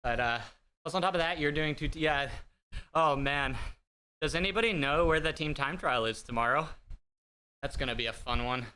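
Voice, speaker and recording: A man talks to his live viewers from a home streaming desk, rambling, self-deprecating and loose with fillers. His voice is bright, higher than most men's and very clean, picked up close on a desk mic. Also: normal speed, pauses often, smooth. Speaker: livestream host. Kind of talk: casual chat with live viewers. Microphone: desk streaming mic through an audio mixer.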